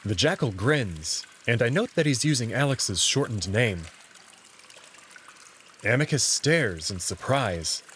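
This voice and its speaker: A man's voice: mid-range voice, lively intonation, slow, fairly bright tone, slight background noise. A man reading scripted first-person narration for a visual novel game.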